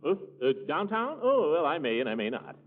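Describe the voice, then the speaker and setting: An actor playing a pompous, fussy middle-aged uncle who blusters and gets flustered, in 1940s radio comedy style. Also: male voice, fairly high pitch, very animated, fairly quick. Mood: evasive, flustered. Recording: muffled, tinny 1940s radio recording.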